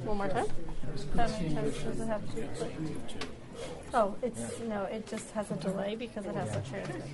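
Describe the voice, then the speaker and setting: A woman casually announcing as a quiz presenter at a restaurant gathering, with loud audio behind her. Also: mid-range voice, fairly even intonation, moderate pace, vocal fry, long pauses, over a noisy crowd.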